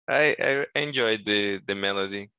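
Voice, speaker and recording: A man's voice: fairly low pitch, normal speed, bright, forceful tone. A man in his thirties hosting a casual video call, asking questions hesitantly through a headset mic. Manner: hesitant, repeats words when asking. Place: small room indoors.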